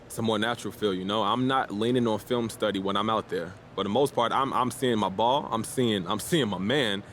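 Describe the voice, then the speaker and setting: A man's voice: on the low side, fairly quick, a bit bright, lightly acted. Man playing a cocky young American basketball star, noisy background. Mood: irritated, self-assured. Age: young adult. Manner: boastful, self-assured, casual. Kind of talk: post-game interview acting in a sports game.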